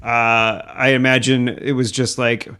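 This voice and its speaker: Male podcast co-host with an average-pitched voice who riffs loosely, swears a lot and spins offhand bits. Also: flat delivery, a little slow, pushed and bright, clean tone. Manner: loose, profane, riffing storyteller. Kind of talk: casual comedic chat.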